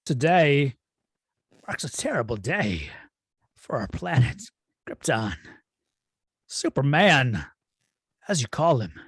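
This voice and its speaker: A man playing a cold, imperious alien android villain in a script read-through, speaking in a husky, grandiose, deliberate way. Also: mid-range voice, sing-song, no room sound, clean studio sound, full character voice. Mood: grandly solemn. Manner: slow, grandiose, deliberate delivery. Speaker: table-read performer.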